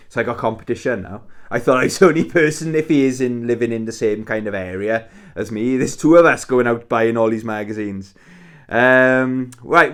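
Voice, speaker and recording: Welsh man in his forties, casual vlogger, mid-pitched, rambling chatty delivery with fillers. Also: smooth. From Wales. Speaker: YouTuber. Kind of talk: casual weekly roundup vlog.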